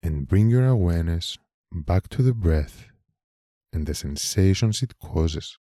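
A man leading a guided meditation in a calm, measured manner, leaving long pauses between short phrases. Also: deep voice, no room sound, clean studio sound. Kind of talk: slow guided meditation narration.

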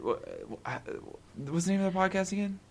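Halting, rambling man. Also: high-pitched, animated. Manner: halting, rambling, many restarts. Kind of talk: casual podcast chat.

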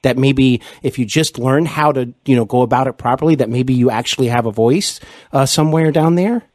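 A man's voice: mid-range voice, brisk, darkish tone, no echo, studio quality. A man hosting a casual interview, speaking in a hesitant, rambling and encouraging way. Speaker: podcast host, interviewer.

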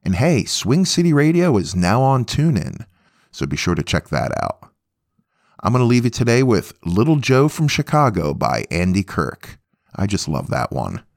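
Low-voiced man with lively, dry delivery. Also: normal speed, dry recording. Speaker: podcast host. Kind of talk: casual music show hosting.

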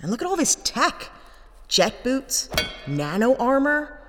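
A woman's voice: high-pitched, big pitch swings, takes it slowly, exaggerated voice. A woman playing a tough, impatient, teasing adventurer with a blunt, mocking manner in a sci-fi audio drama. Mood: grim, appraising. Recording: long reverb, clearly audible background noise.

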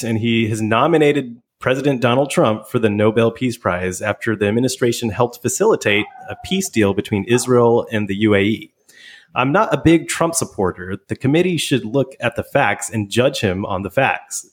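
A man's voice: mid-range voice, moderate pace. Laughing male podcast co-host. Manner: laughs often, stumbles on names. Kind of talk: casual chat, reading news aloud.

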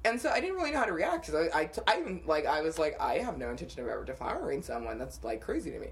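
A young woman co-hosting a podcast, telling dating stories in a casual, animated way and laughing often. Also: low-pitched, rapid-fire. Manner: animated storytelling with frequent laughter. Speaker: podcast co-host. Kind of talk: casual chat.

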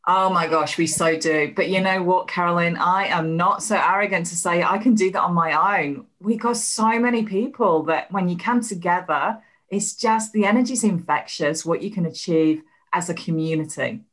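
A woman in her fifties from England, speaking with an English accent softened by years in Australia, chatting animatedly as an interview guest. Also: lowish voice, normal speed, hardly any pauses. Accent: English with some Australian. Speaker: guest, healing coach. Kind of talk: casual interview chat.

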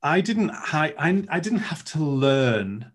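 A man's voice: a little high, sing-song, slow, slightly rough. Earnest man, lyrical and musing. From Wales. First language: Welsh. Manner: earnest, lyrical and searching, with many restarts. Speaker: interviewee, Druid. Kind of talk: reflective interview talk.

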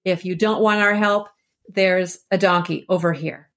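A woman with a slightly low voice talks as an interview guest. She explains a literary work conversationally, thinking things through and showing real enthusiasm for the subject. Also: steady tone, measured pace, fairly bright tone. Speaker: interview guest, author and editor. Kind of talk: conversational literary discussion on a podcast.

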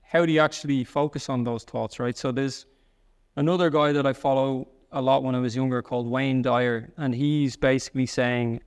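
A man in his thirties with a soft Irish accent, talking earnestly in an interview, often restarting and using "like". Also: medium pitch, fairly even intonation, clean tone, in a large hall. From Ireland. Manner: earnest, quick, with restarts and "like" fillers. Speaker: guest. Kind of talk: conversational interview.

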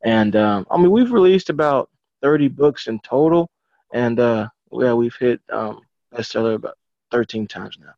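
A man talking casually in an interview as an encouraging coach, halting and repeating words as he goes. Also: mid-range voice, no echo. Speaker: book publisher and author coach. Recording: dry, dull lo-fi audio.

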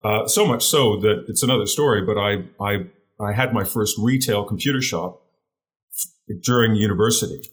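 A middle-aged man with a deeper voice, a guest telling his career story in an interview, earnest and often repeating words as he searches for the phrasing. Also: normal speed.

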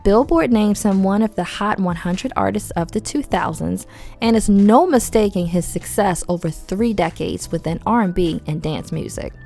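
A woman's voice: medium pitch, very animated, normal speed, gravelly, mellow, soft background music. Congested woman hosting a chatty podcast. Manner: chatty, slangy asides. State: head cold, losing voice. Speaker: podcast host. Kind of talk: casual podcast narration.

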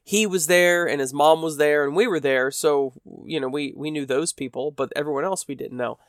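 Chatty podcast host, stuffy from allergies. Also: male voice, fairly high pitch, normal speed, dry recording, studio quality. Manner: chatty, rambling, self-deprecating. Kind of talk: casual solo podcast monologue.

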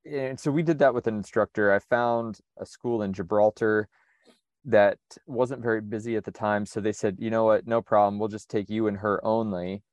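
Low-voiced American man, dry. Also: darkish tone, dry recording. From United States. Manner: reflective, methodical, frequent restarts. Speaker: sailor and interview guest. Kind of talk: conversational interview.

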